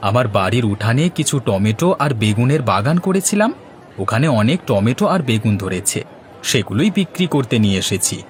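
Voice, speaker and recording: A man voices a good-natured village fisherman for a folk cartoon. He talks in an easygoing, folksy way at a middling pitch. There is faint noise and ambience around the voice. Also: sing-song, a little fast, close to an everyday voice. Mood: easygoing, friendly. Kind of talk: Bengali moral-story cartoon dubbing.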